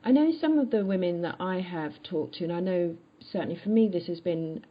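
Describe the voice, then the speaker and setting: A woman's voice: lowish voice, expressive, brisk, highs cut off. A woman hosting a conversational interview, reflective and affirming, with tentative phrasing. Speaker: podcast host.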